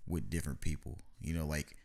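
A man from hosting a casual, profane podcast chat in a laid-back, drawn-out way. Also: a bass voice, gravelly, a little mellow. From Louisville, Kentucky. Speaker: podcast host.

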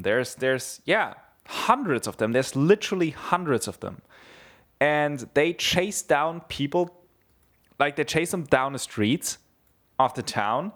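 A man's voice: fairly high pitch, a bit bright. A young man from Bavaria, chatting casually, rambling with lots of swearing and fillers. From Germany (southeast Bavaria). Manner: rambling, swears a lot, many fillers and restarts. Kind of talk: casual chat.

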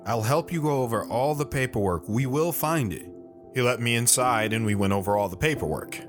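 A man's voice: mid-range voice, brisk, over music, close to an everyday voice. A man playing an earnest, guilt-ridden soldier, narrating his hard life in a sober, plain-spoken way for an audio drama. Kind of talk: dramatic first-person narration.

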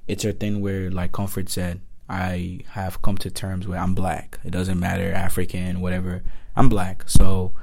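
A man's voice: low-pitched, completely flat delivery, normal speed, creaky, a little mellow. A young man from Nigeria with a Nigerian accent, talking earnestly as a student guest in a casual conversation. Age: young adult. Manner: earnest, repeats himself, acts out quotes.

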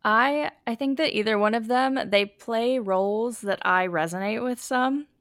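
A woman's voice: on the high side, unhurried, a bit bright, no room sound, clean studio sound. A young adult woman hosting a casual podcast chat, her voice scratchy and a bit sniffly from allergies, with lots of fillers. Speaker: podcast host.

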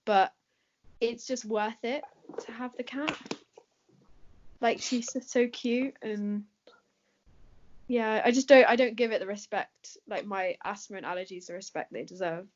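A woman's voice: mid-range voice, a little slow, pauses often. A woman with an English accent answering questions in a casual chat, talking with plenty of fillers and laughing easily. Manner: chatty, laughs often, many fillers. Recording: dull lo-fi recording.

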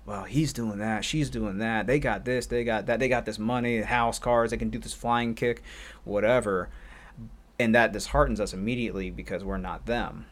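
A man's voice: on the low side, steady tone, normal speed. A man who assists as a martial arts instructor, musing on a podcast in a rambling, reflective way. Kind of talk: casual podcast chat.

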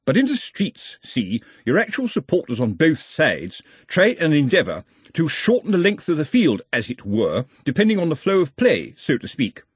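A man's voice: fairly high pitch, huge pitch swings, normal speed, hardly any pauses, husky, no echo, voice pushed up, exaggerated voice. A man voicing a bumbling, self-important male servant in a storybook, wordy and obsequiously deferential. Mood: nervous, officious.

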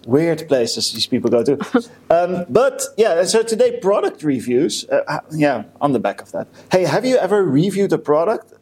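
A man's voice: on the high side, big pitch swings, a little mellow, breathy edge. Young man hosting, full of fillers. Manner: halting, many fillers and restarts. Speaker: podcast co-host. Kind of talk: casual chat.

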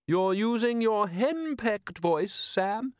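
A prim aristocratic wife acted by a male narrator, pitched very high and chiding. She is bossy and exacting, with swooping intonation in a dry storybook recording. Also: lively intonation, slow, clear, resonant tone, no echo. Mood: reproachful.

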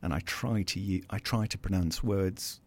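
A man's voice: deep voice, moderate pace. A man in his sixties with a South African accent, a guest in a casual interview who hesitates, restarts and repeats words while speaking earnestly.

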